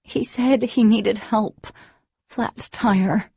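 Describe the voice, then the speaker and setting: A woman's voice: mid-range voice, steady tone, drawn-out words, mellow, slightly rough, no echo, highs cut off, pitched above the performer's own voice, exaggerated voice. A woman voicing a shaken young woman who speaks with a sore, strained throat, earnest and indignant.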